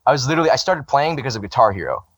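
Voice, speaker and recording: A man's voice: medium pitch, big pitch swings, racing, no echo. A man in his twenties from the American South, a guest musician in a rambling, swear-heavy casual podcast chat. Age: 20s.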